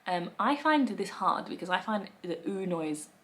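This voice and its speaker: A woman in her thirties with an Australian accent, chatting wryly to camera from her living room, with soft laughs and fillers. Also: on the low side, animated, normal speed. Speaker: lifestyle vlogger. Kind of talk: casual talk to camera.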